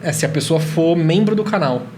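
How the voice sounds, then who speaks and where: Brazilian guy hosting a podcast, voice a bit high, jokey polite, faint noise behind him. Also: lively intonation, brisk. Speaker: podcast host. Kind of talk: casual podcast interview.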